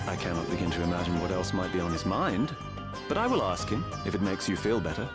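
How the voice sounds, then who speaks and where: Young video game prince: lowish register, varied intonation, courteous, firm, dignified. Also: male voice, expressive, over loud music. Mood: gracious. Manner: formal, measured, firm. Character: courteous, composed young prince, dutiful and gracious. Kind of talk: dramatic video game voice acting.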